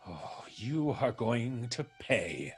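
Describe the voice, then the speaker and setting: Slow, gloating vengeful ex-convict. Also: male voice, medium pitch, big pitch swings, breathy edge, heavily acted. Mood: vengeful, menacing. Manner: theatrical, drawn-out, florid diction. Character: vengeful, gloating male ex-convict, theatrical and menacing. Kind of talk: old-time radio drama acting.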